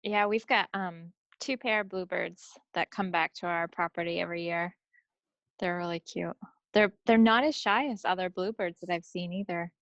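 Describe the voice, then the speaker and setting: A woman's voice: lowish voice, steady tone, moderate pace, fairly bright tone, no room sound, dull audio. A woman co-hosting a podcast, telling everyday stories in casual, chatty style. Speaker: podcast co-host. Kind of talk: casual chat.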